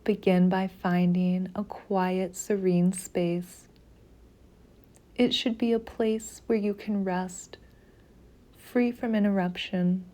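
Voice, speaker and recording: A woman guiding a meditation in a soothing, measured narration with long pauses between phrases. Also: mid-range voice, steady tone, warm tone. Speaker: meditation guide. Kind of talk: slow guided meditation narration.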